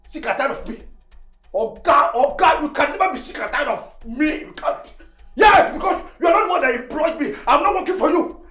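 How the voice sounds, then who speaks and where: Indignant, high-pitched Nigerian servant. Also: female voice, lively intonation, brisk, a bit bright, faint music behind, some chatter behind. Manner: repetitive, indignant, theatrical outbursts. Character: defiant, stubborn, melodramatic household servant. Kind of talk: Nigerian comedy-drama film acting. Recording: roomy echo.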